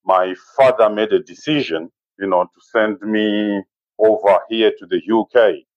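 A man in his forties with a Zimbabwean accent, speaking as an interview guest in a measured, halting way and often saying "you know". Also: fairly low pitch, a little mellow, no echo, dull audio. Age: 40s. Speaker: interview guest, safari business owner. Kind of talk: conversational interview, personal recollection.

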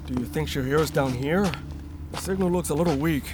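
A man's voice: on the high side, brisk, noisy background, close to an everyday voice. An actor playing a guarded, cautious male rebel in a sci-fi radio drama, serious, clipped and commanding. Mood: matter-of-fact. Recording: loud music under the dialogue. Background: loud music.

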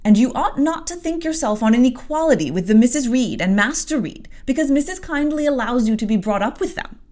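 A woman's voice: high voice, lively intonation, brisk, a little mellow, voice pushed up. A woman reading aloud and voicing a harsh, self-righteous lady's maid who berates a girl. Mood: disapproving. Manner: harsh, disapproving.

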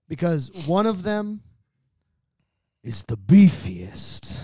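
Male podcast panelist, slightly high voice, very animated delivery, playful mock-draft banter. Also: dry recording, clean studio sound. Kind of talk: casual comic banter, sports-draft parody.

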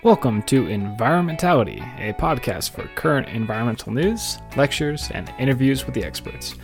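A man's voice: mid-range voice, expressive, brisk, over music. Enthusiastic male podcast host. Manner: enthusiastic, informal. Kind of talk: upbeat podcast hosting and interviewing.

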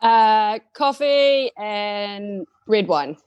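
New woman guest, slow and relaxed, dry sound. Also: medium pitch, no room sound, dull audio. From New Zealand. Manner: laid-back, brief.

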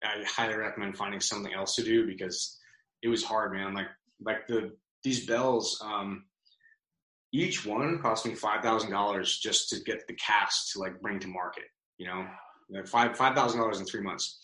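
Low, bright-voiced man in an echoey room. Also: steady tone, normal speed. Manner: casual, swears freely, many fillers. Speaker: guest, business founder. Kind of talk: casual podcast interview. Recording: roomy, echoey sound.

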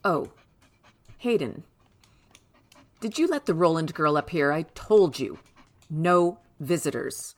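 A woman's voice: low voice, expressive, slow, pauses often, exaggerated voice. A woman playing an imperious, stubborn, controlling mother in an audio drama, with a haughty, clipped, commanding manner. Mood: stern, dismissive.

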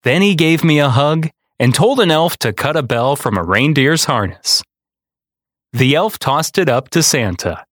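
A man reading a children's picture book aloud in a deliberate, dramatic storytelling style. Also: on the high side, animated, fairly bright tone, dry recording, studio quality. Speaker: storyteller and podcast host.

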